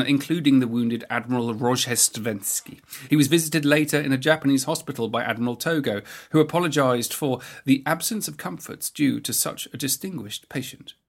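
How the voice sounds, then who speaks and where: A man hosting a podcast, delivering scripted historical narration. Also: mid-range voice.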